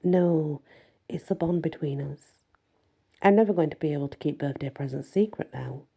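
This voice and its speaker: A woman's voice: deep voice, normal speed, gravelly, mellow, slightly rough, exaggerated voice. A woman voicing a playful, earnest young werewolf woman in a fantasy audiobook, teasing and fond of her mate. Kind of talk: first-person fantasy audiobook dialogue.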